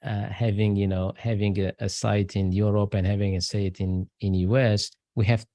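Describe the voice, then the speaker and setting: A middle-aged man who grew up between Algeria and France talks as an interview guest. His voice is low, dark and mellow, recorded dry and close. He speaks English in halting bursts, full of fillers and restarts. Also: level tone, dry recording. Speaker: guest, aerospace engineer. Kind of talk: casual interview talk.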